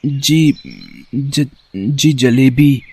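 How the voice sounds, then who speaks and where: A man's voice: medium pitch, fairly even intonation, very slow, darkish tone, husky, dry recording. A man voicing a humble, good-hearted poor working boy in a cartoon story, polite and earnest. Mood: timid. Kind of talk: acted cartoon story dialogue.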